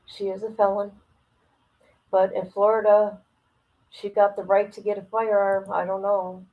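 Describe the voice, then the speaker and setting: A woman's voice: mid-range voice, level tone, a little slow, dull audio. A woman hosting a casual livestream, reading aloud and commenting in a blunt, incredulous, gossipy way. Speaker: livestream host reacting to a document.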